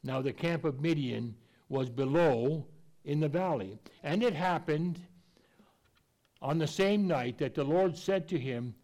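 A man's voice: a little high, measured pace, darkish tone. A man preaching a conversational Bible teaching, folksy and plainspoken, with an occasional stutter and restarts.

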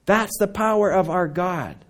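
A middle-aged man who grew up in Indonesia, preaching a sermon with dramatic swings between loud emphasis and near whispers. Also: high-pitched, unhurried.

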